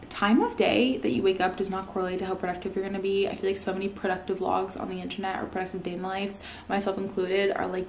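A woman's voice: medium pitch, vocal fry, darkish tone, slight background noise. Chatty young woman vlogging at home. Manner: chatty, upbeat, lots of "like" and "you guys". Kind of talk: casual vlog chat to camera. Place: living room at home, indoors.

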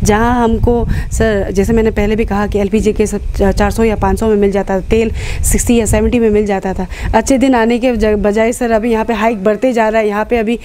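A woman's voice: mid-range voice, little pitch movement, brisk, few pauses, warm tone, a little hiss or hum. A young woman, a reporter putting pressing questions and mixing in English words. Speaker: news reporter, interviewer. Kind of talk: interview questioning. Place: outdoors at night, by shrubbery. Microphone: handheld interview microphone.

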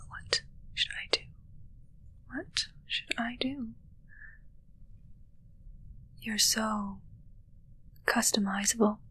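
A young woman playing a self-assured, darkly matter-of-fact witch in a hushed, musing ASMR roleplay, close to the mic. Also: on the high side, steady tone, breathy edge, heavily acted, low-quality recording. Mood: musing, critical. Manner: slow, musing, hushed, close personal attention. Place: small dark curtained indoor set.

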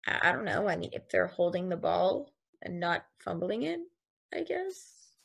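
A woman's voice: fairly low pitch, big pitch swings, normal speed, vocal fry, husky. A young woman on a video call reading a stage play aloud, asking puzzled questions. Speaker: table read actor.

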